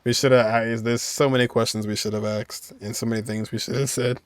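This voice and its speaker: A man's voice: medium pitch, level tone, fairly quick, no echo. A man giving casual, slangy playthrough commentary, full of joking asides and mock resignation. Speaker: gaming streamer.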